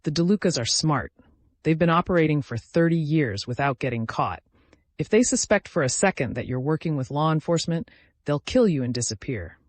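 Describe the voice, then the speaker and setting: A man's voice: on the high side, expressive, fairly quick, dry recording, natural voice. A man voicing a professional, direct woman prosecutor with controlled tension in a dramatic audio story. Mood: steady, urgent.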